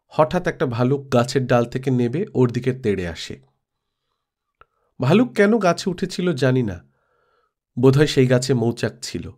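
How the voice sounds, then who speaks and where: A man's voice: medium pitch, a little mellow. Man reading a literary story. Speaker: storyteller reading a classic audiobook. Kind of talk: scripted literary narration.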